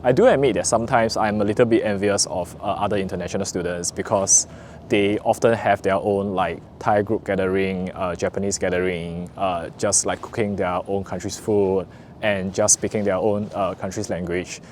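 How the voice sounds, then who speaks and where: A young man from Singapore with a Singaporean English accent, talking earnestly to camera in a relaxed vlog, with frequent "uh" fillers. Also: sits low, moderate pace, a little hiss or hum. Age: young adult. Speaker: vlogger, recent business school graduate. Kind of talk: casual talk to camera.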